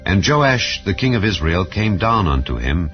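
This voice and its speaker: A man giving a measured, solemn scripture reading over soft background music. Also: deep voice, big pitch swings, highs cut off. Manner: measured, solemn reading with rising and falling phrases. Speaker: Bible reader.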